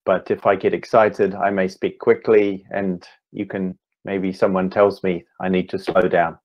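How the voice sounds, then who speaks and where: A man in his fifties with a New Zealand accent, giving an online talk as a meditation teacher, speaking slowly and deliberately with gentle pauses. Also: low-pitched, flat delivery, muffled recording. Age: 50s. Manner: slow, deliberate, gently pausing. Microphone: video call.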